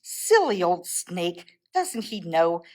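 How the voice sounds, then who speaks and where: Woman, zoo educator, children's story time, lively intonation, slow pace, simple and explanatory. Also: on the low side.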